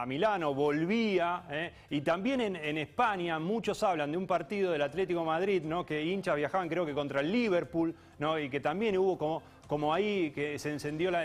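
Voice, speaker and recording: A male news anchor asking long, rambling questions full of tag questions on live TV. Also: on the high side.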